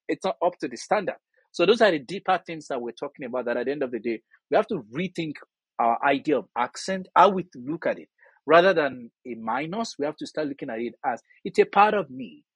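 Nigerian guy being interviewed, bit of a higher husky voice, earnest and chatty, really dry close sound. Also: no echo. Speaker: interview guest, theatre artist and scholar. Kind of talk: conversational interview answers with anecdotes.

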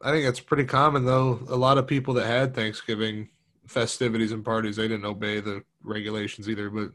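A man's voice: lowish voice, level tone, fairly quick, fairly bright tone. A man with an easygoing, laid-back manner, chatting on a podcast. He laughs often and drops casual swearing into his talk. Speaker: podcast co-host. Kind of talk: casual chat.

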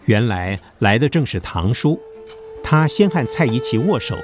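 A man's voice: mid-range voice, big pitch swings, moderate pace. A man narrating a scripted story in Mandarin as the storyteller of an audio drama. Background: background music.

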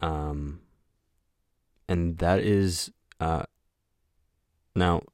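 A young man hosting a solo podcast, talking in a flat, monotone voice that rambles, with lots of hesitation and filler words. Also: low voice, very slow, no room sound, studio quality. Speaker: podcast host. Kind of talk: casual solo podcast chat.

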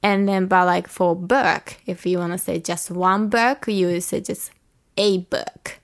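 Japanese woman, native speaker, gravelly fry, hesitant and searching for words. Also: on the low side, fairly even intonation, takes it slowly. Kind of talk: casual chat.

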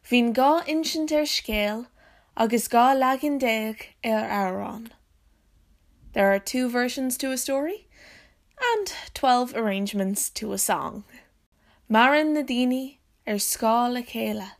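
A woman storyteller with an Irish accent reading short scripted sayings in deliberate, measured phrases. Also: fairly high pitch.

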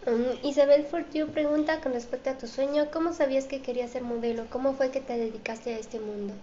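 A woman's voice: high-pitched, fairly even intonation, fairly quick, dark tone. A woman hosting an interview show, reading out audience questions and presenting to viewers. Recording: dull, echoey room with faint noise.

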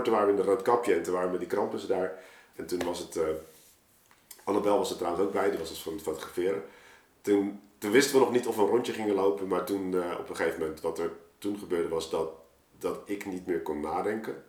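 A man telling a story casually as a podcast guest, rambling along with plenty of colloquial tags. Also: deep voice. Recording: roomy, echoing podcast recording.

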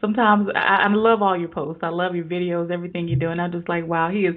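Female podcast host, lowish voice, effusive and upbeat, motivational interview delivery. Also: little pitch movement, fast talker, phone-quality sound. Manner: enthusiastic, effusive.

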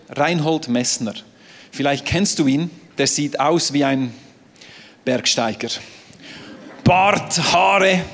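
A man whose first language is French, preaching a sermon on a stage in a playful, animated way. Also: on the high side. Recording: reverberant hall.

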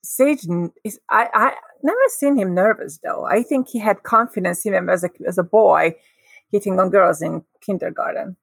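Woman guest, vivid storyteller, gravelly texture, dry close sound. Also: mid-range voice, moderate pace, no echo. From Hungary. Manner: expressive, lively storyteller. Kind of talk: casual storytelling.

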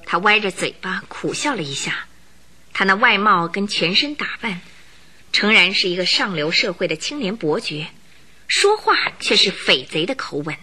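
A woman's voice: medium pitch, big pitch swings, normal speed, pushed and bright. A woman narrator telling a radio drama story from a script in a vivid, suspenseful, dramatic way.

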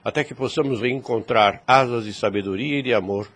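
A man's voice: mid-range voice, brisk, a bit bright, lightly acted. An actor playing a wise, fatherly priest in a radio drama, solemn and preachy, in formal archaic phrasing. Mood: grave, preaching.

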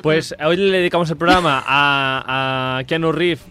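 A man hosting a casual film podcast, joking with quick interjections. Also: fairly high pitch, pushed and bright, faint music behind. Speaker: podcast host.

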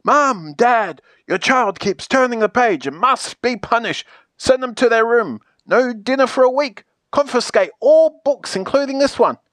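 Woman voicing a melodramatic, bossy storybook character, swooping pitch. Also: big pitch swings, no room sound, clean studio sound. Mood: threatening, bossy. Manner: theatrical, emphatic, drawn-out pleading. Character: pleading, exasperated book character, melodramatic and bossy. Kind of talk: children's picture book read-aloud.

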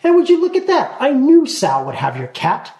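A man's voice: sits high, lively intonation, normal speed, a little mellow, reverberant. A man voicing a blunt, bossy female gnome who is 263 years old but childlike. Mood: chattering. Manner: blunt, morbid, hasty chatter.

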